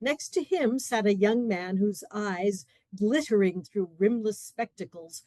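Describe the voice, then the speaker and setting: A woman serves as narrator for a radio play, reading scripted story prose. Her voice sits at a medium pitch and is recorded close, with no room sound. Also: a little slow, mellow, no room sound.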